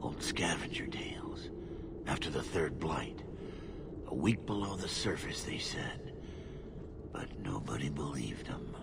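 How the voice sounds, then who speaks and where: Very deep, gruff dwarf leader. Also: male voice, sing-song, unhurried, pauses often, whispered, heavily acted. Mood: awed. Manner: gruff, commanding. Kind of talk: dramatic video game dialogue.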